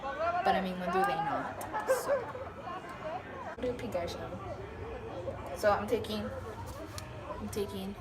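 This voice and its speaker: A young American woman with a voice hoarse from being sick, chatting through a casual makeup tutorial in a low-energy, hesitant way. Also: very animated, unhurried, pauses often. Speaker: makeup vlogger. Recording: very noisy room with background chatter. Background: people and children talking.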